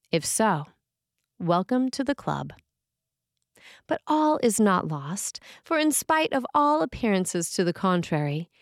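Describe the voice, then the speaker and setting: Woman preacher reading from a script, measured phrasing, mid pitch, really dry close sound. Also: a little mellow, dry recording. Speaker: preacher reading a written sermon for a podcast.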